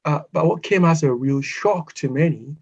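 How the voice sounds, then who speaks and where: A man from Ghana giving a prepared online lecture in measured, formal phrases with pauses. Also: fairly high pitch, darkish tone, slightly rough. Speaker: guest speaker, psychotherapist. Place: online video call. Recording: dull, lo-fi video call audio.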